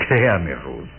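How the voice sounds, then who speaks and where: Sinister aristocratic count, mocking and measured, muffled vintage radio sound with music behind. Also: male voice, low-pitched, exaggerated voice. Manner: measured, courtly, insinuating. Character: sinister pale vampire count, courtly, mocking, cynical. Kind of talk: Mexican radio drama acting.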